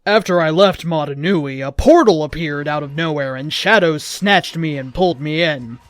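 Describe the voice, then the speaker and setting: Higher-pitched man narrating a story. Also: normal speed, fairly bright tone, dry recording, studio quality. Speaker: host reading fan fiction. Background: background music.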